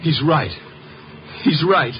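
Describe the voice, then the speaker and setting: A man's voice: on the high side, lively intonation, takes it slowly. Overwrought lovesick young man, muffled radio. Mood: bitter, paranoid. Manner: pleading, melodramatic, repeats phrases. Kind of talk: 1950s radio drama acting. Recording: muffled, noisy old radio recording.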